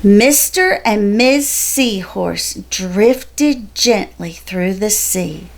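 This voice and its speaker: A woman reading a children's picture book aloud in a deliberate storytelling manner. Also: medium pitch, lively intonation, creaky. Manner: slow, deliberate, storytelling delivery. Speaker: storybook reader.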